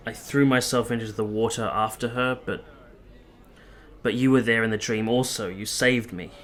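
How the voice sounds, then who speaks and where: A man acts a sincere young military officer in a period radio drama. His voice sits low. He speaks in measured, literary phrases, tender and reflective, as if setting down a memoir. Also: fairly even intonation, a bit bright, close to an everyday voice. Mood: earnest, gentle. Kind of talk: radio play acting and literary narration.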